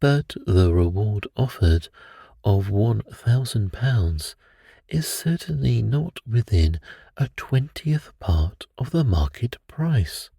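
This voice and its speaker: A man voicing a brilliant Victorian gentleman detective in a bedtime story, precise and assured. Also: lowish voice, animated, takes it slowly, creaky, breathy edge, no room sound, clean studio sound, full character voice. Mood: assured, intrigued.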